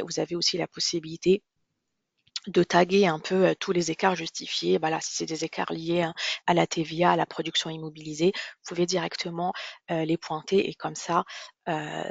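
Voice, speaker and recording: A presenter giving a software demonstration in a webinar, explaining step by step in a halting way, often repeating and rephrasing. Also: mid-range voice, vocal fry, breathy edge, no echo, highs cut off. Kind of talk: product demonstration webinar, semi-scripted explanation.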